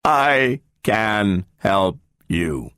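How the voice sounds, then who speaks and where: Brief: slow, booming machine voice, flat and literal, played by a male narrator. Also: smooth, no echo, clean studio sound, exaggerated voice. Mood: flat, mechanical. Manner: booming, slow, without intonation. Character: big immobile talking machine, flat and literal.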